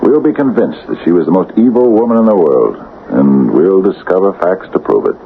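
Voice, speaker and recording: Very deep, anguished radio-drama townsman. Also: animated, creaky. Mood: bitterly rationalizing. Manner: anguished, halting, conflicted. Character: troubled townsman reluctantly giving in to a murder plot. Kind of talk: 1970s radio drama acting. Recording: tinny, noisy old radio broadcast.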